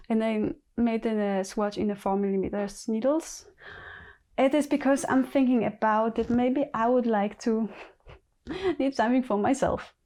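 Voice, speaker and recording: A woman with a Polish accent, a native Polish speaker, hosting a knitting podcast in casual chat, thinking aloud and halting with many pauses. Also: fairly high pitch, dark tone. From Poland.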